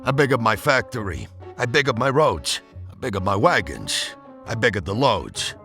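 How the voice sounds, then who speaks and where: A man narrates a rhyming children's storybook with lively, expressive intonation. His tone is bright and clear-cut, and music plays softly behind him. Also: medium pitch, normal speed. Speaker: storybook reader.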